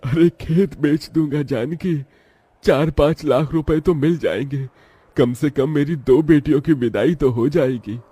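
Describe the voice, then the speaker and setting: Man voicing a humble, mellow old village father. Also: a little high, a little slow, breathy edge, no echo, voice pushed up. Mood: worried, resigned. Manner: pleading, earnest.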